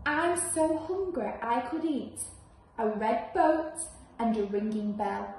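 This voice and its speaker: Woman as a high, scornful little storybook mouse. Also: expressive, slow, darkish tone. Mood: scornful boasting. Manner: emphatic, dismissive. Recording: echoey room, faint background noise.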